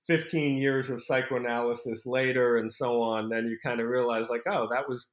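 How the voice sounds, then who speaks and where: A man born in France speaks as a podcast guest. He talks in a reflective, halting way with many fillers and restarts. His voice carries a roomy echo. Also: medium pitch, steady tone. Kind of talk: conversational interview.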